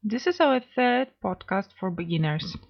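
Woman, language teacher, podcast co-host, gravelly fry, clear and measured, muffled lo-fi audio. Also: mid-range voice, very animated. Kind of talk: scripted beginner language lesson. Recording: slightly dull lo-fi recording.

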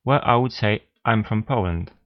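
A man co-hosting a scripted beginner language lesson podcast, steady and explanatory as he prompts listeners, on a slightly dull lo-fi recording. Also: lowish voice, normal speed. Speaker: language teacher, podcast co-host.

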